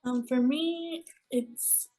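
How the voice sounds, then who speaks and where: A woman's voice: sits high, extremely slow, mellow, faint music behind. A woman from Florida answering questions as a guest in a casual interview. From Florida, United States.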